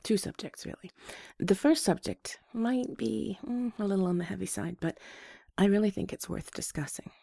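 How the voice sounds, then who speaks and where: A woman with a rough voice, hoarse from a flu, hosting a gentle and reassuring advice talk to her listeners. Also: mid-range voice, moderate pace, hardly any pauses, dry recording, studio quality. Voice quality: rough, slightly congested voice. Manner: gentle, reassuring, restarts phrases. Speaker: advice show host.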